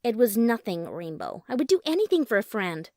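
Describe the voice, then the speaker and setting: A woman plays a caring young cartoon girl pony, bookish and a little shy. The voice is high and expressive, gentle and tentative, over faint music. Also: brisk, no echo. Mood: warm. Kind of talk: fan fiction dramatic reading.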